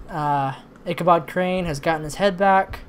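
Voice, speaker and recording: A man doing casual let's-play commentary, thinking aloud in a rambling way, with a slightly hoarse voice. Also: a little high, moderate pace. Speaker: host of a gaming channel. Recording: noisy recording with background ambience.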